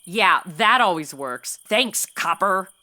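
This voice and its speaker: A woman's voice: lowish voice, lively intonation, slow, sharp tone, heavily acted. A woman acting as a sassy, flippant modern young woman in a comedy audio drama, sarcastic and exclamatory.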